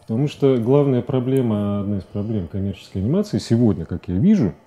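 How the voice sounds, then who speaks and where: A man in his forties talking as a guest in a studio interview, halting and repeating words as he searches for phrasing. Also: fairly low pitch, expressive, mellow. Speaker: interview guest, animation director. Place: TV studio at a desk. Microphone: lapel mic.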